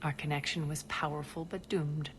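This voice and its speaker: Low-voiced, haughty alien crime queen played by an actress. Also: steady tone, slight background noise, lightly acted. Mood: cold, sardonic. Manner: haughty, deliberate, sardonic. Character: ruthless, imperious alien female crime queen, cold and commanding. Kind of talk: video game voice acting.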